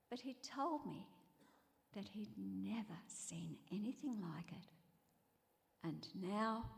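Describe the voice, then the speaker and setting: A middle-aged Australian woman giving an earnest, measured ceremonial speech as guest speaker, a teacher librarian. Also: medium pitch. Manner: earnest, measured, heartfelt phrasing in short lists. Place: awards event venue. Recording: long reverb in a large hall.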